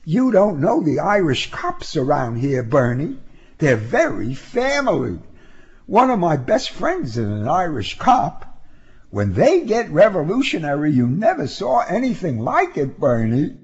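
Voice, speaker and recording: A sharp-tongued young woman from an audiobook story, acted by a man reader. The voice is lifted high and swings widely as it needles and coaxes. The manner is sarcastic and unflappable, and the recording is somewhat dull. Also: huge pitch swings, a little slow, husky, heavily acted. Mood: threatening, taunting.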